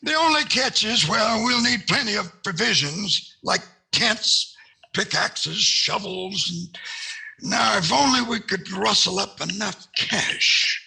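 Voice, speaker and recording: A grizzled old prospector, high and piercingly sharp, with a heavy rasp. He stammers folksily in old radio comedy fashion. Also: expressive, takes it slowly, full character voice. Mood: eager. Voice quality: raspy, creaky. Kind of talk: 1940s radio comedy acting.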